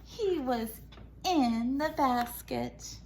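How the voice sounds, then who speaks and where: A woman's voice: high voice, expressive, drawn-out words, creaky. A woman reading a picture book aloud to young children as an educator, in an exaggerated, sing-song storytime manner. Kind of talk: animated children's read-aloud, modeling core words. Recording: loud noise behind the voice.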